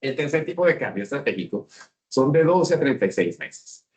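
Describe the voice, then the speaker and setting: A man's voice: medium pitch, sing-song, normal speed, a little mellow. A man with a Mexican accent giving a business seminar talk and often asking the audience questions. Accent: Mexican Spanish. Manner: engaging, asks the audience questions. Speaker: speaker.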